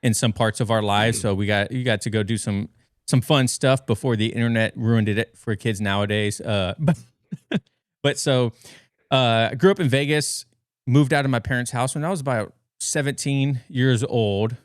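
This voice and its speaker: A man's voice: mid-range voice, normal speed, smooth, no echo, clean studio sound. An American man in his thirties chatting casually as a podcast guest, telling stories in an informal, rambling way. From western United States. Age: 30s. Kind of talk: casual conversational storytelling. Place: bedroom at home.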